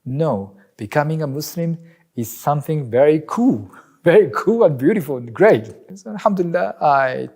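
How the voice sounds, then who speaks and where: A man from Japan with a Japanese accent, an imam speaking earnestly and reflectively in a testimony interview, sometimes pausing to search for words. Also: fairly high pitch, lively intonation, a little mellow.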